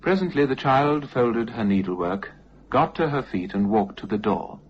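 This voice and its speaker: A man narrating a first-person radio tale in a measured, literary way with dramatic pauses. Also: mid-range voice, lively intonation. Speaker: storyteller, narrator of a radio play. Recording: dull lo-fi audio, faint noise.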